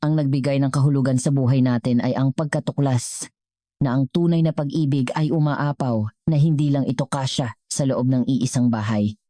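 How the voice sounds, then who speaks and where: A woman voicing a gentle, humble middle-aged wife and mother in an earnest storybook reading. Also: rumbling low, fairly even intonation, moderate pace, dry recording, studio quality. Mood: reflective. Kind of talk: scripted storybook dialogue.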